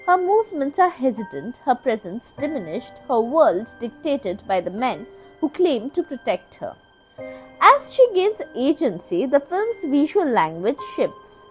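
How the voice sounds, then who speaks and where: A woman with a fairly high voice and very lively intonation delivers a scripted video essay. Her phrasing is literary and measured, with deliberate dramatic pauses. Music plays under the voice-over. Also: rough recording. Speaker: video essay narrator, podcast host.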